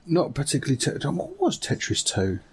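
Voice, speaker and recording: Slightly husky man, casual chat. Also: on the high side, huge pitch swings, a little fast. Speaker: podcast co-host. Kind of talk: casual chat about video games.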